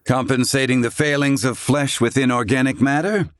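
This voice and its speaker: A man playing a proud, stern older inventor in a video game, speaking in formal, archaic language with gruff, haughty curtness. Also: mid-range voice, steady tone, normal speed, no echo, clean studio sound, lightly acted. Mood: grave, questioning. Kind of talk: scripted video game voice acting.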